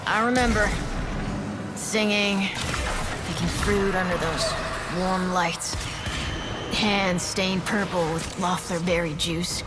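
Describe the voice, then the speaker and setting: A woman's voice: on the low side, steady tone, slow, long pauses. An actress voicing a wry, battle-weary woman fighter haunted by her past, in video game dialogue. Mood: wistful. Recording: game music loud behind the voice. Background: loud game music.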